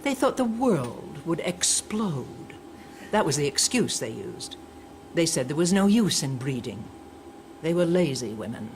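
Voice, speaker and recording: An actress playing a stern, fanatical older indoctrinator in an audio drama, preachy and scolding. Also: female voice, low voice, big pitch swings, unhurried, a little mellow, a little hiss or hum, full character voice. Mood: contemptuous.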